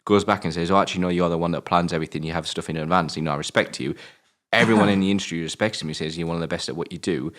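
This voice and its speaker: Young british guy, really low voice, talks fast, gets all excited and swears a lot chatting about films. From Britain. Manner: excitable, swears, exclaims in disbelief. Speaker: co-host of a film review show. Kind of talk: casual film chat.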